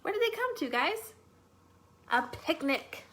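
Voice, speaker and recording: High, animated woman hosting children's storytime. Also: a little slow, slight background noise. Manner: animated, sing-song, addresses young children. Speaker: library storytime host. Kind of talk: children's storytime, read-aloud and chat.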